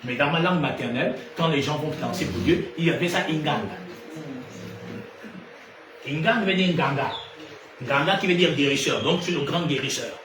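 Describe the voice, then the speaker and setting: A man preaching an animated sermon, his voice set a little high. He swings from loud emphatic peaks to low, quiet asides. He sounds distant from the mic, in a roomy space full of echo, with noticeable background noise. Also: normal speed, long pauses. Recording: echoey room from far off, noisy ambience.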